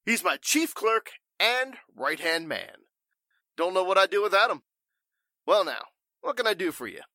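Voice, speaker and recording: A man playing an irritable, pompous and evasive warehouse boss in 1940s radio style, gruff and stammering. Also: high voice, sing-song, normal speed, bright, forceful tone, dry recording, clean studio sound, exaggerated voice. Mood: falsely cordial.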